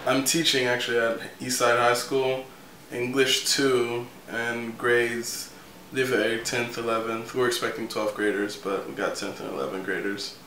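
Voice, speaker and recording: A man in his early twenties talks as a new teacher. His pitch is medium and moves very little. The room echoes, with faint noise. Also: little pitch movement, a little slow, sharp tone. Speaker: teacher in training. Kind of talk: personal account to camera.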